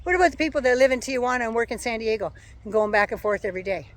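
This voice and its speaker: A woman giving a sarcastic, profane political rant as a vlogger, her voice going hoarse. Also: fairly high pitch, expressive, very fast. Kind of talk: casual ranting monologue.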